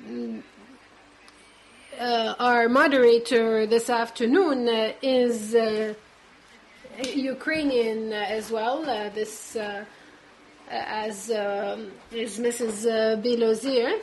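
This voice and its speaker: Halting woman interpreter, higher voice. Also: fairly even intonation, a little hiss or hum, rough recording. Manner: halting, constant "uh" fillers between words. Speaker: conference interpreter. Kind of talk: simultaneous interpretation of a speech.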